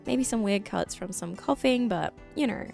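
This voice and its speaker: A woman recovering from a lost voice, slightly croaky, chatting excitedly to viewers while playing a game. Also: mid-range voice, moderate pace, faint music behind. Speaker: Minecraft let's-play creator. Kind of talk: casual gameplay commentary.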